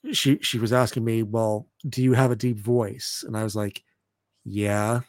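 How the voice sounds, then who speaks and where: A man in his thirties chatting casually as a podcast host, halting and often repeating words as he goes. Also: little pitch movement, dry recording, clean studio sound. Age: 30s. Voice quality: deep voice. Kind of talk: casual chat.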